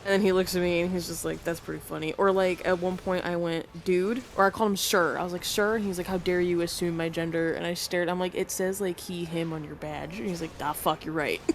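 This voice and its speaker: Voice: medium pitch, fairly even intonation, a little fast, few pauses, slight background noise. A young adult on an online voice call with friends, casually telling work anecdotes, laughing along and swearing freely. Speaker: gamer on a group voice call. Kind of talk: casual storytelling while gaming.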